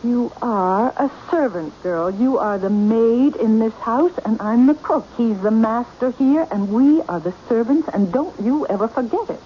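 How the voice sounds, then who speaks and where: Suspicious mother cook, swooping high voice. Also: animated, unhurried, few pauses. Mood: stern, warning. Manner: scolding, hesitant when broaching delicate warnings. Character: protective, suspicious middle-aged mother, a household cook. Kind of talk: radio drama acting. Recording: dull, noisy vintage radio drama recording.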